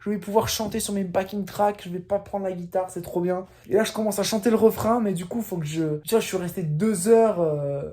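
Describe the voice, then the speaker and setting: A man's voice: high voice, brisk, hardly any pauses, warm tone. A young man from France telling the story of his trip in a casual, slangy voice-over full of asides and fillers. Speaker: vlogger narrating his trip. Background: faint music.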